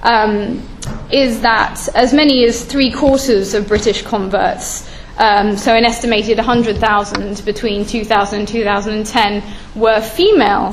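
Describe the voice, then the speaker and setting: Woman panelist, mid-pitched, hesitant delivery, faint room noise. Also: low-quality recording. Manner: hesitant, many ums. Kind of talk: panel discussion.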